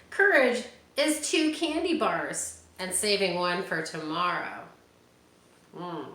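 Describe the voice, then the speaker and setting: A woman reading a children's picture book aloud and chatting with young listeners between pages. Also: medium pitch. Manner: animated, talks directly to young listeners. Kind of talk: read-aloud storytime with chatty asides to kids. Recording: roomy echo, faint ambient hiss.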